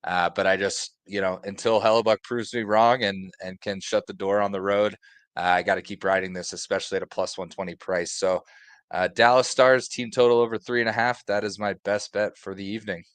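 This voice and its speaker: A man co-hosting a sports betting show, giving his picks in a halting way, with many fillers. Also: on the low side, level tone, clear, resonant tone, dry recording.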